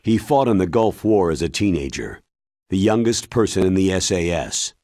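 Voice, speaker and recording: A man playing a grave, authoritative military commander in a video game, briefing in a deliberate, emphatic way with a husky voice. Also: low-pitched, sing-song, darkish tone, dry recording, studio quality. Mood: solemn, informative. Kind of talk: video game cutscene acting.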